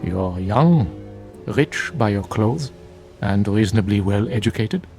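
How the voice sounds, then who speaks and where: Radio drama role: solemn older holy man, deepish voice, measured sermon-like delivery, fervent conviction, light music underneath. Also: lively intonation, a little mellow. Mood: stern, knowing. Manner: formal, measured, grave and preachy. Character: solemn bearded older monk, fervent guardian of truth. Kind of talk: classic radio drama acting.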